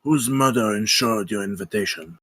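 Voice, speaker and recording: A man playing a brooding, controlled, vengeful count in a dramatic table read, with a husky voice. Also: lowish voice, normal speed, a bit bright. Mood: cold, commanding.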